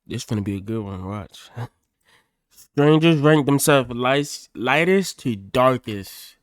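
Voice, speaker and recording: A man's voice: mid-range voice, animated, slow pace, no echo, studio quality. An American man giving casual, slangy reaction commentary with an incredulous manner. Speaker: reaction streamer.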